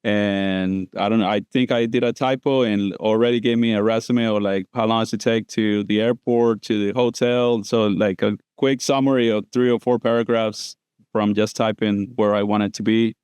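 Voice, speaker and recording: A man's voice: on the low side, fairly even intonation, moderate pace, darkish tone, clean tone, dry recording. A man from Costa Rica, a dentist guest on a podcast, explaining things casually with loose grammar and many fillers. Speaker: guest prosthodontist.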